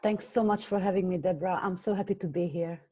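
A woman from Slovakia speaks with a Slovak accent in a low, mellow tone. She tells her story earnestly in an interview, often stopping on small fillers as she goes. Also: quick pace, husky. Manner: earnest, hesitant with many fillers. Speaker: guest, business coach. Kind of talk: conversational interview.

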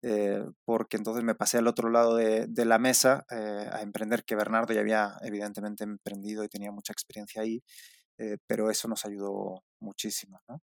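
A man in his forties from Spain whose Spanish accent has picked up some Mexican tones, answering as a founder guest in a relaxed interview with many fillers and restarts. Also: fairly low pitch, brisk, vocal fry, dry recording, studio quality. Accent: Spanish with some Mexican influence.

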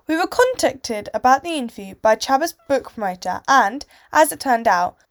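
A woman co-presenter of a podcast, giving a scripted introduction of the guest in a clear, steady, read-aloud manner. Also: fairly high pitch.

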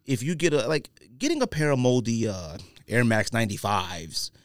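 Smooth guy hosting a sneaker podcast, slangy storytelling, super dry sound. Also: mid-range voice, normal speed, no room sound. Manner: conversational, slangy, animated storytelling. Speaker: podcast host. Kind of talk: casual podcast chat about sneakers.

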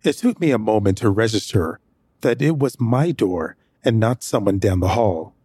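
A man's voice: on the low side, lively intonation, moderate pace, mellow, breathy edge, no echo, studio quality. An actor narrating as a nervous man hiding his sick brother, hesitant and anxious in his dialogue. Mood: steady narration. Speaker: first-person narrator. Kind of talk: audio drama narration.

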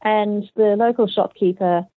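A woman's voice: medium pitch, steady tone, no room sound. Australian woman on a tinny phone line. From Hong Kong. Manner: hesitant, thoughtful, many pauses and fillers. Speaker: author guest. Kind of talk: conversational interview answers.

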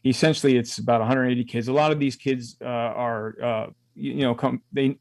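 A man's voice: mid-range voice, fairly even intonation, gravelly, no echo. A man talking as a guest on a radio show, stopping and restarting his sentences often. His voice sits mid-range and sounds close and dry, with no room around it. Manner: halting, many restarts and fillers. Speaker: guest, mentoring volunteer. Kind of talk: casual interview talk.

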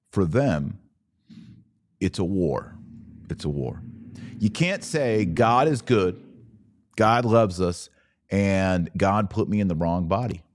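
A man delivering an opinionated podcast monologue as host, speaking emphatically with rhetorical questions and dramatic pauses. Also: fairly low pitch, a little mellow, no echo, clean studio sound.

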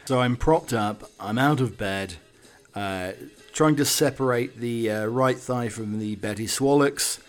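A man's voice: medium pitch, slow. A man hosting a podcast in a casual, wry monologue, self-deprecating and mock-dramatic, often stumbling and repeating his words. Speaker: podcast host.

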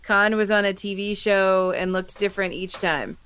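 A woman's voice: mid-range voice, fairly even intonation, normal speed, fairly bright tone, slight background noise. A woman co-hosting a podcast, giving opinions casually and repeating her points for emphasis. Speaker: podcast co-host.

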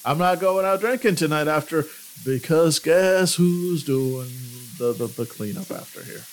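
Jokey young man with a lively voice. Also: fairly high pitch, a little slow, a little hiss or hum. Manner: jokey and laid-back, swears casually, laughs often. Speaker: player. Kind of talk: improvised in-character banter.

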